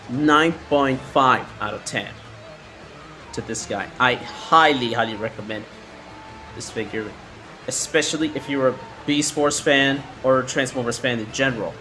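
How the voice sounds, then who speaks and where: A man narrating a hands-on toy review in a halting way, often repeating his words. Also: medium pitch, bright, forceful tone.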